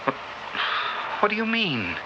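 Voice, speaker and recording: An actor playing a bewildered outsider in a future world, narrating in halting, repetitive, dramatic bursts in old radio style. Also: male voice, sits high, wildly swooping pitch, heavily acted. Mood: baffled. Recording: dull, noisy old radio drama recording.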